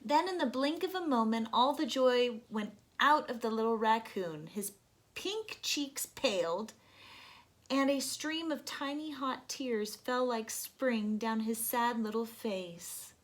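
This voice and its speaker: A woman reading a children's storybook aloud in a gentle, deliberate, expressive narration. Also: on the high side.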